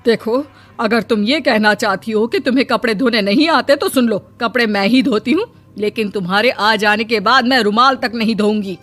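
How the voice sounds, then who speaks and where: Casting: woman to play proud middle-aged cartoon mother-in-law, highish voice, blunt and scolding, light music behind. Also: moderate pace, fairly bright tone. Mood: stern. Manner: blunt, huffy.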